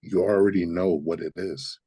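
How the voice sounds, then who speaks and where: A man playing a cryptic, patient guide in a live screenplay reading, with a husky voice. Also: deep voice, steady tone, moderate pace, mellow. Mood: calm, insistent. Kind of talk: live screenplay table read acting.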